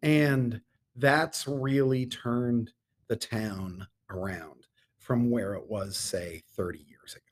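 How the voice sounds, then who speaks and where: A middle-aged man speaking as an interview guest in an earnest, measured way with deliberate pauses. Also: mid-range voice. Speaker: podcast guest, theatre artistic director. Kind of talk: conversational interview talk.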